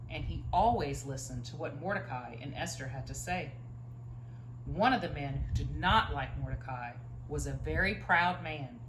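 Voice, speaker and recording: A woman's voice: sits low, a bit bright. A woman in her thirties reads a children's Bible storybook aloud expressively, leaning on key words, recorded indoors a few feet from the camera. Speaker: story reader. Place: living room, indoors, by a stone fireplace. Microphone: camera a few feet away. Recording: roomy echo, faint room noise.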